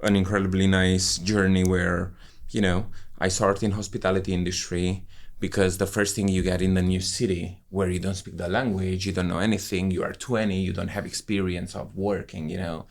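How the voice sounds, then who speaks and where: Deep gravelly-voiced man. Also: level tone, moderate pace. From Romania. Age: 30s. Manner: effusive, enthusiastic storytelling with non-native English phrasing. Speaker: guest, wine professional. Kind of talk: casual conversation.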